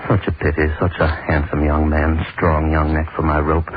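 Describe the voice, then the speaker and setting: Morbid hangman, gloating and brooding, very low flat voice, vintage radio horror with heavy hiss. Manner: brooding, repetitive, halting monologue. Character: morbid, gloating male state executioner confessing his obsession. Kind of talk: old-time radio horror acting. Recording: noisy, muffled old radio recording.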